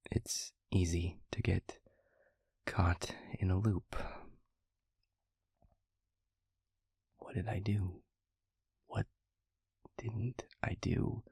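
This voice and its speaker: Male demon played by a man, introspective and tender, once arrogant. Husky, gravelly half-whisper, close and dry, for intimate audio roleplay. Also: low-pitched, warm tone, no echo, exaggerated voice. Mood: pensive. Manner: slow, halting, reflective delivery.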